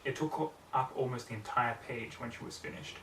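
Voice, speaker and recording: A man's voice: medium pitch, moderate pace, fairly bright tone. Man narrating a story in an echoey room. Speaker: amateur audiobook reader. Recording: roomy, distant mic, faint background noise.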